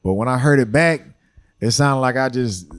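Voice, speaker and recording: A middle-aged man, chatting casually as a podcast guest, relaxed and full of "you know what I'm saying". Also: medium pitch, normal speed, no room sound.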